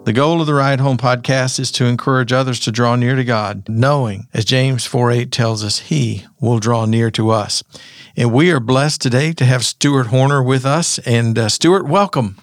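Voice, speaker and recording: A man hosts a podcast in a friendly, easygoing way, laughing easily with his guest. His voice is mid-pitched and recorded dry and close. Faint guitar music plays behind him. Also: normal speed, no room sound. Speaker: podcast host. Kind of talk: podcast interview hosting.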